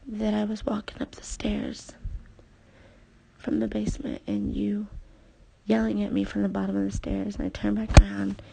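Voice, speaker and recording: Female, husky with plenty of fry, unscripted intimate memoir read, halting and reflective, addressed to one person. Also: mid-range voice, completely flat delivery, dark tone, low-quality recording. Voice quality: husky, creaky, often dropping to a breathy near-whisper. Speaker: personal storyteller addressing a former partner.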